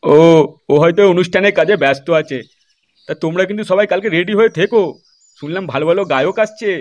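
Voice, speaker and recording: A man's voice: high voice, fast talker, close to an everyday voice. A man voicing a foul-mouthed old grandfather in an amateur comedy cartoon, grumbling coarsely and keen to dance and drink. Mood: chatty, cheerful.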